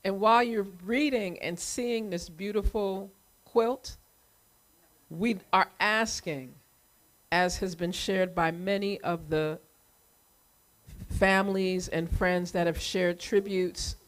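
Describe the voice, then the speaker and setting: Slow, deliberate woman addressing a gathering. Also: medium pitch. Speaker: community event host. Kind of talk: public remembrance address.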